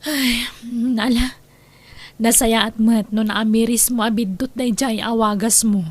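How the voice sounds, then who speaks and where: A woman voicing a caring female friend in an audio drama, speaking gently and soothingly. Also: fairly high pitch, steady tone, measured pace, no room sound. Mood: gentle.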